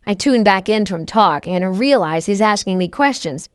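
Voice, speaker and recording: A woman's voice: medium pitch, animated, normal speed, no echo, clean studio sound. A woman reading first-person scripted novel narration as an audiobook narrator.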